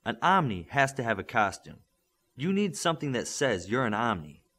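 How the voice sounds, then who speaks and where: A man's voice: medium pitch, sing-song, moderate pace, a bit bright. A man voicing a firm, encouraging male superhero coach and mentor in an audiobook. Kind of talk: audiobook character acting.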